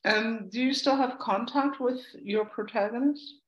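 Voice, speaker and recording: Older woman, discussant, slow deliberate questions, video call from home, echoey room, muffled sound. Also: a little high. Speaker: discussant, interviewer. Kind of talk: asking questions slowly. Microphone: laptop webcam on video call.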